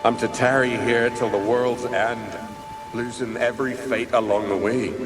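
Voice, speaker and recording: A man's voice: mid-range voice, steady tone, loud music behind the voice, lightly acted. An actor playing the weary, jaded ghost of a doomed male warrior in a video game, speaking in a sardonic way. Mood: weary, bored. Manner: slow, world-weary, sardonic. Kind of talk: video game cutscene acting.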